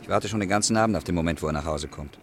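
A man's voice: deep voice, racing, slight background noise, lightly acted. An actor playing a tense, suspicious male stranger in a German radio play, curt and prickly.

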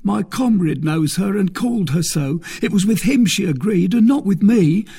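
A man's voice: high-pitched, expressive, normal speed, vocal fry, mellow, husky, voice pushed up, full character voice. A man voicing a terrified, wounded bandit soldier who pleads fearfully in an audiobook. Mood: anxious confessing. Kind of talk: dramatised audiobook character voice.

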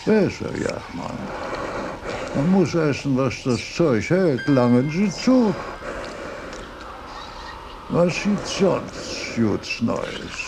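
An older man playing an elderly, opinionated philosopher in a radio drama, speaking in a halting, pedantic and emphatic way. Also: fairly high pitch, big pitch swings, creaky, background noise, exaggerated voice. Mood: fussy.

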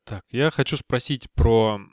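A man's voice: mid-range voice, measured pace, no room sound, clean studio sound. A man hosting a casual technical discussion, asking questions in an informal, inquisitive way. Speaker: podcast host asking questions.

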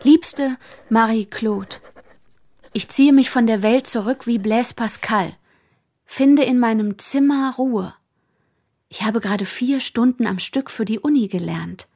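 An earnest young female student in a radio play, reading her letters aloud. The voice is fairly high and distinctly husky, with a bookish seriousness. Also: measured pace, a little mellow. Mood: earnest, wistful.

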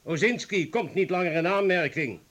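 A grave comrade in a radio drama. His voice is fairly high for a man, and he declaims deliberately, resolute and weighed down by guilt. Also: a bit bright. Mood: grave, resigned. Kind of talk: radio play acting.

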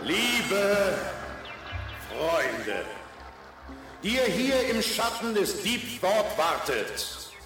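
A man playing an exalted, solemn and fervent orator, declaiming grandly with pauses in a radio drama. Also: sits high, very animated, exaggerated voice. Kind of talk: radio drama public oration. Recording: very echoey, distant, music behind.

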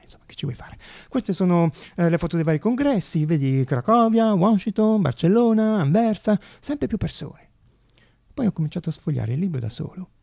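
A man performing a theatrical monologue as a storyteller, with a raspy, gravelly voice and dramatic delivery full of deliberate pauses. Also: fairly high pitch, mellow. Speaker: stage actor and storyteller.

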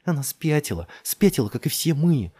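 A desperate woman in an audiobook thriller, acted by a male narrator, urgent and commanding. The voice is pushed well above his own and shouts insistently, with a rough, husky edge. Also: a little high, sing-song, moderate pace, voice pushed up. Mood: frantic, shouting. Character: desperate, commanding young woman urging others to climb.

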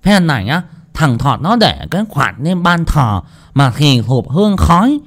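A loud, shrill young village lad, as a male storyteller puts him on, ranting in an excited rush. His voice rides high and swings about with indignation. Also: moderate pace, creaky. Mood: indignant.

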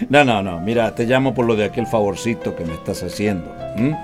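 A man's voice: fairly low pitch, moderate pace, over music, close to an everyday voice. An actor playing a smug, crafty middle-aged businessman father in a radio drama, with a Costa Rican accent and a needling, folksy manner. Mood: smug, amused. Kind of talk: radio drama acting, one side of a phone call.

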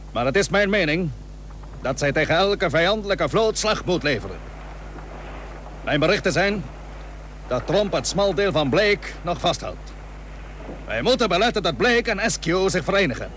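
An actor playing a resolute seventeenth-century Dutch naval commander in a radio play, earnest and commanding, with solemn, old-fashioned formal wording. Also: male voice, fairly high pitch, fairly bright tone. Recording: noisy old radio drama recording.

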